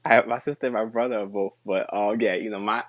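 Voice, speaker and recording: A woman co-hosting a podcast in casual chat, talking haltingly and trailing off with laughs. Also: a bass voice, fairly even intonation. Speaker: podcast co-host. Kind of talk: casual film-recap chat.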